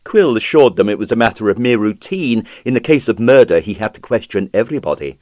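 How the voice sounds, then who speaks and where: A man's voice: fairly low pitch, expressive, brisk, a little mellow, dry recording, studio quality. A man reading a story aloud as dramatic scripted narration. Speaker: audiobook narrator.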